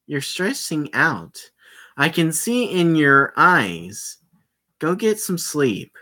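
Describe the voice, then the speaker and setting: Calm young woman, read by a man. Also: a little high, slow pace, voice pushed up, heavily acted. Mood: matter-of-fact.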